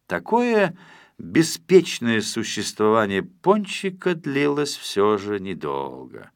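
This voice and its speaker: A man reading a children's story as a scripted audiobook narrator, in an expressive, measured storytelling manner. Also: fairly low pitch, no room sound, studio quality.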